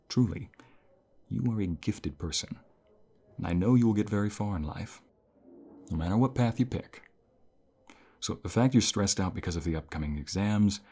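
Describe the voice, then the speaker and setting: Guy doing a kind college professor for asmr, deep slightly breathy voice, gentle n calming. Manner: calm, reassuring, gentle. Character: caring male university professor comforting a burnt-out student. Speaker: voice actor. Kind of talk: ASMR roleplay.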